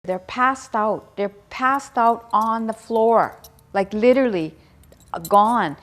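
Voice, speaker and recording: A woman's voice: fairly high pitch, slow, a bit bright. A woman speaking emphatically and earnestly in a news interview clip as the director of a community ministry.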